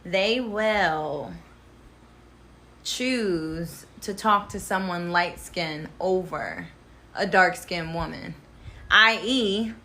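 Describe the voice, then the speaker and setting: A young adult woman, a daughter talking with her mother, animated and emphatic as she tells her stories. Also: on the low side, very slow. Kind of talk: casual conversation.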